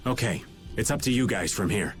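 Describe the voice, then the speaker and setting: A man's voice: lowish voice, lively intonation, a little fast, soft background music, lightly acted. An actor playing a scruffy joking middle-aged man with a world-weary, teasing manner in a video game cutscene. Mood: resigned. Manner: glib and teasing, turning weary.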